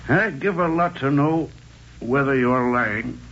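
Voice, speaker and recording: An actor playing a suspicious middle-aged political boss in a 1940s radio drama, speaking bluntly and with insistent authority. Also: male voice, mid-range voice, takes it slowly, a bit bright. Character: stern, suspicious male small-town political boss mayor. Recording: muffled, noisy old radio recording.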